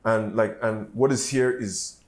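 A young man hosting a casual conversation, relaxed and slangy, with frequent murmured agreement. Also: lowish voice, measured pace, a little hiss or hum. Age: young adult. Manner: laid-back, slangy, lots of "mm" backchannels. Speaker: podcast host. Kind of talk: casual chat.

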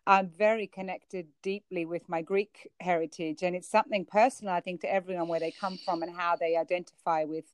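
A woman's voice: lowish voice, steady tone, a little fast, hardly any pauses, clean tone, no room sound. A woman from Australia with an Australian accent, hosting a casual interview and encouraging her guest. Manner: warm encouraging listener, frequent mm-hmms. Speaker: interview host.

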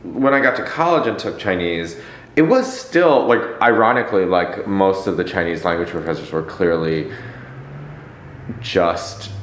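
A man's voice: low-pitched, moderate pace, a bit bright, a little hiss or hum. A young man rambling wryly in a casual chat, often restarting his sentences. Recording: roomy with faint ambience.

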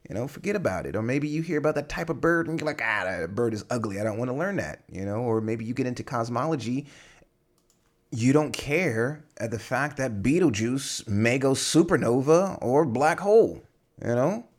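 A man's voice: medium pitch, animated, normal speed. A young man hosting a solo podcast, talking casually and rambling with many fillers and restarts. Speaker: podcast host.

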